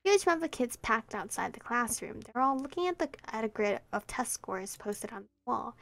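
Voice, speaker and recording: Young woman reading a game aloud, gravelly. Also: fairly high pitch, expressive, moderate pace, a bit bright, no room sound, soft background music. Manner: light, chatty reading with small laughs. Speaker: let's play streamer. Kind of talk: reading a visual novel aloud.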